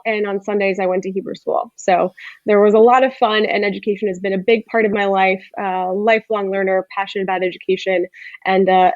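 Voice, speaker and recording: A woman's voice: mid-range voice, a little mellow, no echo. Woman guest, thirties, articulate and explanatory, dull lo-fi sound. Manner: articulate, explanatory, frequent "you know". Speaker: guest, startup co-founder. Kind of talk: conversational interview answers.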